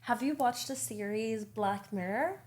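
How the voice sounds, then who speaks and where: A woman's voice: a little high, measured pace, a little mellow. A woman, a native Farsi speaker, chatting as a podcast guest, enthusiastic and giggly. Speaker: actress and filmmaker, guest. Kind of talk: casual chat.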